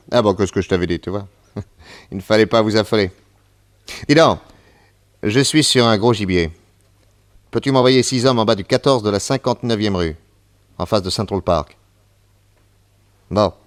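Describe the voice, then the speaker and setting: An actor playing a confident, unflappable private detective in a French radio serial, breezy and commanding. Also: male voice, mid-range voice, lightly acted.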